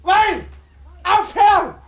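An actor in a German radio drama playing a cowardly wretch of a sailor, shrieking shrilly in panic. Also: male voice, extremely high pitch, slow pace, echoey room, muffled recording, full character voice. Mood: panicked. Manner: shouting in panic.

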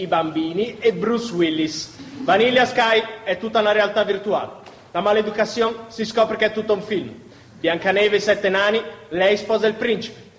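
A male stage performer delivers a live recitation in a theatre. His voice sits high for a man. The sound is very echoey, with a long hall reverb.

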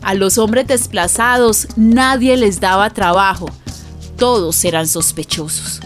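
Vecina colombiana de voz aguda, avispada. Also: female voice, fairly high pitch. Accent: Colombian, paisa. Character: chatty, resourceful displaced neighbor woman, streetwise and friendly. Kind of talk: radio drama narration and dialogue. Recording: music bed behind the voice.

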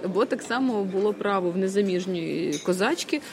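A woman's voice: medium pitch, expressive, normal speed, music in the background. A woman, a writer and musician, talking spontaneously in an interview. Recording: busy crowd behind the voice.

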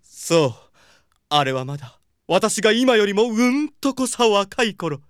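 A male actor playing a flamboyant effeminate man in an audio drama, with feminine phrasing and dramatic, drawn-out delivery. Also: sits high, very animated, clean tone, dry recording, studio quality. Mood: wistful, theatrical. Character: flamboyant, theatrical effeminate man reminiscing about youthful stage dreams. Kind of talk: Japanese audio drama acting.

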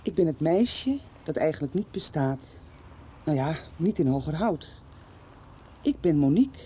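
A woman's voice: deep voice, lively intonation, a little slow, mellow. Formal, earnest young woman. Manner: earnest, formal, taking charge and making plans. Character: earnest young woman who was hidden as a child, now protective. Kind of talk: 1990s radio soap acting. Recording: dull lo-fi radio recording with faint street noise.

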